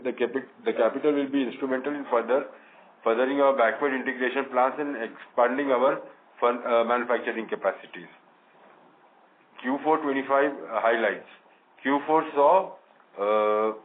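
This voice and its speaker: A man with an Indian accent formally reading prepared remarks as a managing director on an earnings call, stumbling over some words. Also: medium pitch. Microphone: conference call line. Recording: narrow phone-like line with faint noise.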